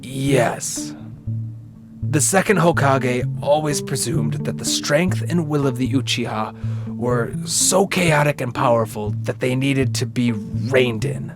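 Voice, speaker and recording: A man plays a prudent military commander in an audio drama. He has a mid-pitched voice and reasons carefully and explains with some hesitation, over prominent guitar music. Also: slow, lightly acted. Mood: matter-of-fact. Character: cautious, level-headed male military commander of ninja. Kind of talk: fan-made audio drama acting.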